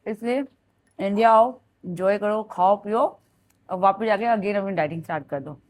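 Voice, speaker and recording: A woman in her thirties with a Punjabi-tinged accent and a hoarse throat, chatting through a home vlog in her kitchen and slipping into English phrases. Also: mid-range voice, moderate pace, darkish tone. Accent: Punjabi-tinged Hindi. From India. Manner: chatty, code-switches into English. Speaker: vlogger. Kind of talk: casual vlog narration.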